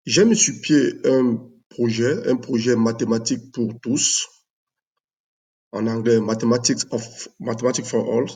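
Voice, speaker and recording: Man, math teacher, dark mellow tone, measured, hesitant. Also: mid-range voice. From Cameroon. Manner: measured, hesitant with many fillers. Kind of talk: video announcement, unscripted.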